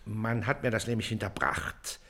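An actor playing a haughty, self-important Prussian district official in a radio play, suspicious, condescending and clipped. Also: male voice, on the low side, normal speed, bright, forceful tone. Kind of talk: German radio play acting.

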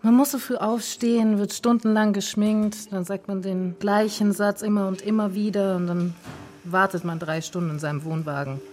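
A woman's voice: medium pitch, little pitch movement, normal speed, a little mellow, soft background music, natural voice. A woman playing a weary, teasing film actress who complains sardonically in a radio drama. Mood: weary, sardonic.

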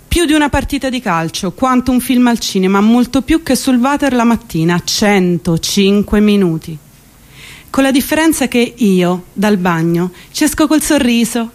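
An actress playing a wry, deliberate small-town woman new to the city, in a comic theatrical monologue. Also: mid-range voice, a little mellow, close to an everyday voice.

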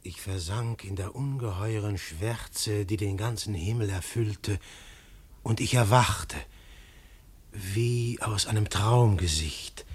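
A hushed, husky dreamer narrating. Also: male voice, fairly low pitch. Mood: reflective. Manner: slow, hushed, reflective. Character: male first-person narrator, dreamlike and awed. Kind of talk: 1950s radio drama narration.

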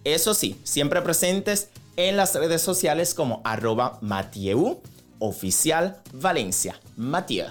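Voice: medium pitch, expressive, slow pace. Una voz de radio de tono medio que presenta noticias de farándula y patrocinios en un programa matinal, hablando con cercanía al público. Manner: chatty, conversational asides to co-host and audience. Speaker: radio host. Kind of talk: entertainment news and sponsor reads on a morning radio show. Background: background music.

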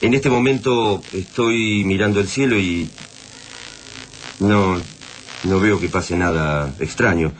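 Casting: a rattled Argentine on-air host in radio drama, fairly deep, halting, trying to stay composed. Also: male voice, slight background noise. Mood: anxious, reassuring. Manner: hesitant, stammering, earnest. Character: bewildered male radio presenter struggling to keep calm. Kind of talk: halting, improvised-sounding radio drama acting.

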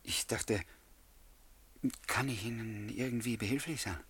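An actor playing a courteous, pensive flat-sharer who narrates his memories in a German radio play, polite and measured, with a husky voice. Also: lowish voice. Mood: pensive, concerned.

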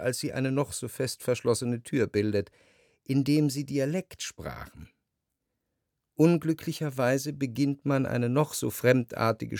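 An actor playing a reflective, possessive, melancholy gentleman who narrates a literary radio drama in a measured, articulate, introspective way. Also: mid-range voice, no room sound, clean studio sound, natural voice. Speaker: first-person narrator. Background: music.

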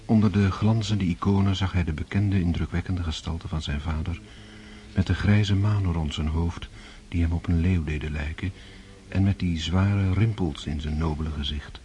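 A man reading scripted literary narration for a radio drama, composed and measured. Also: low voice, dark tone. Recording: noisy old radio drama recording.

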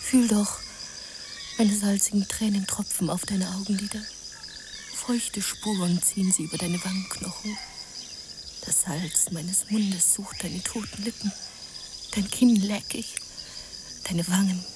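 A woman's voice: mid-range voice, fairly even intonation, a little slow, pauses often, lightly acted. An actress playing an impassioned woman who tells a lurid adventure fantasy in a melodramatic, intense radio play manner, at times whispering. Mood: tender, grieving. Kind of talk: radio play narration and acting. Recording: noisy jungle ambience behind.

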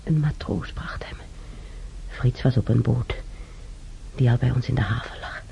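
An older woman acting an ailing, weary old woman reminiscing alone in a radio drama, halting and sighing, with a breathy voice. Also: rumbling low, dark tone, whispered, heavily acted. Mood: fond reminiscing. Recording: dull radio recording, faint noise.

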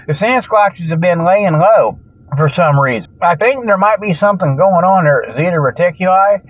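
A man's voice: high voice, animated, moderate pace, no echo. A man hosting a comedic radio show, rambling along in a folksy, colloquial, joking monologue. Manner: folksy, colloquial, jokey babbling with frequent chuckles. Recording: thin phone-like radio sound.

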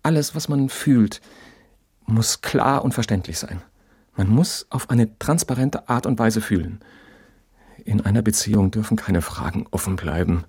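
A man's voice: fairly low pitch, a little slow, darkish tone, husky, dry recording, clean studio sound, full character voice. An actor in a German radio drama playing an obsessive, pedantic abandoned husband who insists on his ideas with great earnestness. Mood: solemn, dogmatic.

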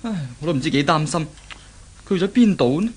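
A man's voice: on the high side, lively intonation, fast, slightly rough. A man acting in a 1970s Cantonese radio drama as an earnest, worried young fiancé with a Hong Kong accent. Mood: worried, urgent. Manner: earnest, fretful.

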